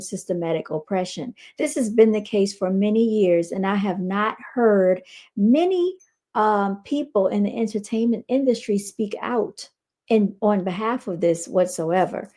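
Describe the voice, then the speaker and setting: A woman's voice: mid-range voice, a little mellow. An American woman hosting a reaction show, giving casual, opinionated commentary and repeating her points for emphasis.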